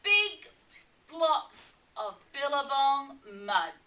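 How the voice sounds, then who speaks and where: Woman voicing a know-it-all storybook platypus, emphatic, high and bright, echoey. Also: sing-song, extremely slow, heavily acted. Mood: insistent. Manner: emphatic, advising. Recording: echoey room, voice from a distance.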